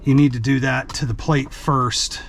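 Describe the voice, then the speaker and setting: A man's voice: medium pitch, steady tone, normal speed, creaky. A man giving an informal, hands-on how-to, talking through the task as he works. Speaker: vlogger, truck driver. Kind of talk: casual hands-on how-to narration. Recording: background noise while working.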